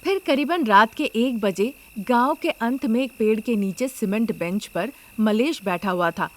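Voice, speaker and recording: A woman's voice: on the high side, lively intonation, a little slow. A woman storyteller reading a scripted family moral tale as narrator.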